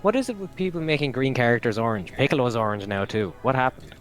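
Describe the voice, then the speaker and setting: A man's voice: mid-range voice, lively intonation, quick pace, soft background music. A man in his twenties with a joking, bantering manner, commentating on a game run. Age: 20s. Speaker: speedrun commentator. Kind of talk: casual gaming commentary.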